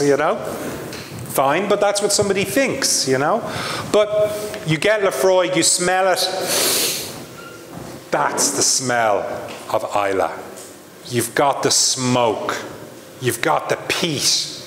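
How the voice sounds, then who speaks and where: Irish man pitching whisky in an echoey hall. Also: a little hiss or hum. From Ireland. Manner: lively salesman patter, audience asides. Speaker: brand ambassador presenter. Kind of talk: live trade presentation.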